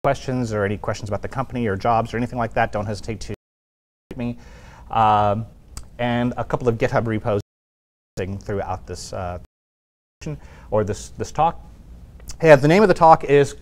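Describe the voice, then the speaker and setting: A man's voice: medium pitch, moderate pace, long pauses. A man speaking as a guest at a tech meetup, loose and chatty with lots of fillers, on a clip-on mic in a room with an audience. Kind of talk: casual presentation. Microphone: clip-on lapel mic.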